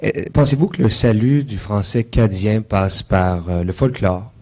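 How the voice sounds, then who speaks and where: A very low-voiced man speaks up from the audience. He asks his question in a tentative, musing way. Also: measured pace, darkish tone. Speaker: audience member asking a question.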